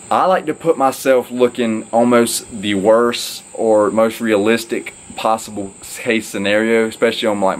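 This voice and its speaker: A man's voice: fairly low pitch, slight background noise. A young man talking casually to camera from an outdoor porch, rambling and upbeat with lots of fillers. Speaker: fitness vlogger. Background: crickets and insects.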